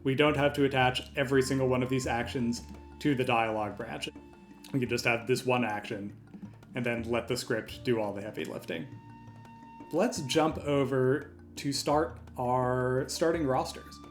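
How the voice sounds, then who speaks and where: A man hosting a software tutorial, talking through each step in an informal, explanatory way. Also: medium pitch, steady tone, moderate pace, faint music behind. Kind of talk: step-by-step screen tutorial narration, conversational. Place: voice-over for a screen recording.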